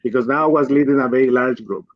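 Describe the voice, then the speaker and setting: A man's voice: mid-range voice, fast talker, dry recording. A middle-aged man from Colombia, a native Spanish speaker with a Colombian accent, giving conversational career advice in an earnest, emphatic way as a guest. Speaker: guest, physician and executive. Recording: dull, lo-fi livestream audio.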